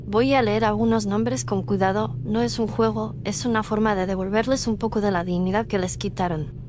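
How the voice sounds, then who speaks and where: A woman's voice: mid-range voice, steady tone, moderate pace, natural voice. Woman playing solemn ghost-hunting streamer, noisy background. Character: Lucía, grave woman leading a haunted-site livestream. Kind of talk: horror audio story acting. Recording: clear noise and ambience behind voice.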